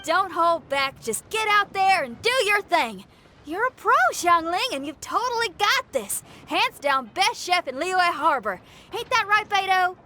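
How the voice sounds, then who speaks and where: A woman's voice: very high-pitched, big pitch swings, measured pace, sharp tone, full character voice. A woman voicing an upbeat, brash young woman in a video game, punchy and encouraging.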